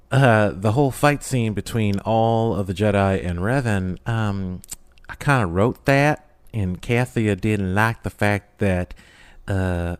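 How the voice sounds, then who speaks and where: A man talks casually as a guest on a podcast. His voice is on the low side and recorded dry and close. He delivers opinions wryly, with playful mock indignation. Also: dry recording. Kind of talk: casual chat.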